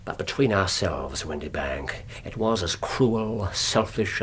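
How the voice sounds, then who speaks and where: An actor playing a shrewd, scornful Victorian detective in a British radio drama, English accent, speaking with precise, theatrical delivery. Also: male voice, fairly low pitch, fairly bright tone, a little hiss or hum. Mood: cool, measured.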